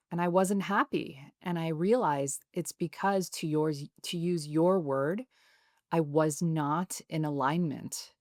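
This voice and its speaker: A woman in her thirties with a notably low, even voice, speaking slowly and calmly. Her delivery is soothing and measured. The sound is dry and close, with no room around it. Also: no echo. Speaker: podcast guest, author. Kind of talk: reflective interview talk.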